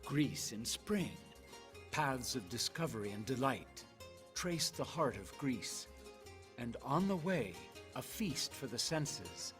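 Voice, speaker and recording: Male VO, slow lyrical travel-promo read, music bed underneath. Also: medium pitch, very animated. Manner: slow, poetic, measured delivery. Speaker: promotional video narrator. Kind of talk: scripted tourism narration. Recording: narration over loud music.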